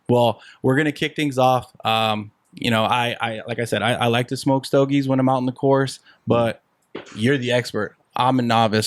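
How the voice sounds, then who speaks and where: A young man hosts a golf podcast from inside a cigar shop, easygoing and friendly, dropping Spanish words into his talk. His voice sits at a middle pitch and sounds close and dry, with little room sound. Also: no echo. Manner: laid-back, friendly, mixes in Spanish words. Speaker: podcast host. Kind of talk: casual interview chat.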